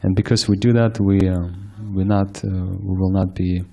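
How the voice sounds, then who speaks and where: A man giving a scripture lecture, soft-spoken and hesitant, with frequent fillers and restarts. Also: sits low, little pitch movement, normal speed, gravelly. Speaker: speaker giving a scripture class. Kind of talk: sermon-style lecture. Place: temple hall with live audience.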